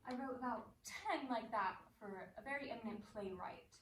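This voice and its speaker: A woman's voice: a little high, normal speed, lightly acted. A woman playing a wry, worldly stage actress. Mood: amused. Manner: poised, wry, gently mocking. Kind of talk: stage play acting. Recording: roomy, distant mic, faint noise.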